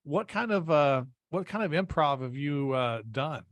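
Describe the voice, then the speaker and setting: A man hosting a casual interview, asking hesitant, halting questions. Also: a little high, expressive, dry recording. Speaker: podcast host.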